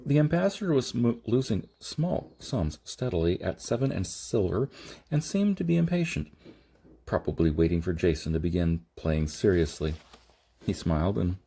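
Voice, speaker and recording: A man reading a science fiction novel aloud as scripted narration, with a halting delivery that sometimes stumbles. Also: sits low, big pitch swings, creaky, warm tone, slight background noise. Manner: halting, stumbles and rereads phrases. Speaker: audiobook reader.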